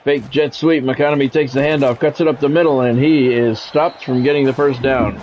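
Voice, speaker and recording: A man's voice: mid-range voice, fairly even intonation, normal speed, a little mellow, muffled recording. A man doing radio-style play-by-play of a football video game on a livestream, with swearing and greetings to viewers.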